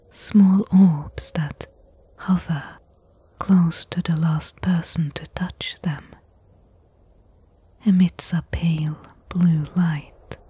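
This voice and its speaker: A woman playing a cautious adventurer in a soft-spoken ASMR roleplay, breathy, hushed and hesitant, speaking intimately. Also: on the low side, heavily acted. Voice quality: breathy, whispery. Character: cautious female adventurer exploring an ancient desert temple.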